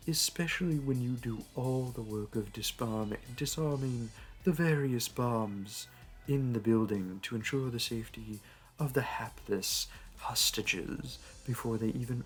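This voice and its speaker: A man voices a smooth-talking, flirty supervillain for an audio roleplay. He takes his time, drawing words out in a theatrical, teasing purr at an ordinary male pitch. Soft music plays underneath. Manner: teasing, purring, theatrical, drawn-out delivery.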